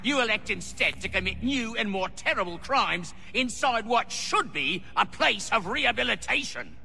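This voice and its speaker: A self-righteous warden in a video game. He harangues with contempt in a high, very sharp voice that swoops dramatically, with faint noise behind. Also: sing-song, normal speed, gravelly, heavily acted. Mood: contemptuous. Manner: pompous, sermonising, contemptuous. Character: scornful, self-righteous male prison warden haranguing inmates.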